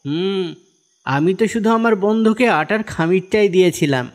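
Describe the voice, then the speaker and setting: A man's voice: high voice, lively intonation, normal speed. A man voicing a kindly, devout and patient fisherman husband in a cartoon, speaking with assured, explaining manner. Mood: grateful, devout. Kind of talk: animated cartoon dialogue in Bengali. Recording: music behind the voice.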